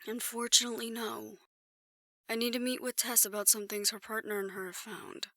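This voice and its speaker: Woman acting a bright, composed confidante. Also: fairly high pitch, flat delivery, normal speed, no room sound, clean studio sound. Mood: wry, cautioning. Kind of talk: audio roleplay acting.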